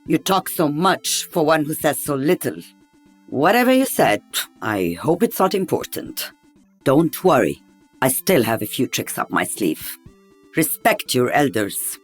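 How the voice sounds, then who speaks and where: Game role: older woman sniper veteran, dry teasing wit, deep female register, animated intonation. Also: measured pace, soft background music, exaggerated voice. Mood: wry, confident. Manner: wry, teasing.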